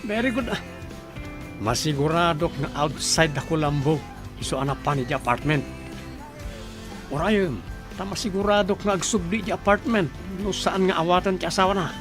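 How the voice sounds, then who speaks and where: A man with an Ilocano accent playing a gruff, scheming, blunt confidant in a radio drama. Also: sits high, moderate pace, long pauses, natural voice. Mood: sly, urging. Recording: prominent music behind the voice.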